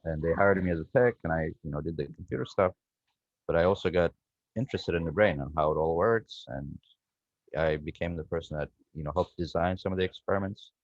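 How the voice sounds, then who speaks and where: Guest: deep-voiced man, reflective and rambling, dry lo-fi video call sound. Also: a little fast, no echo. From Ethiopia. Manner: reflective, rambling with frequent "you know" and restarts. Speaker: guest researcher. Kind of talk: conversational storytelling on a video call. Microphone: video call microphone.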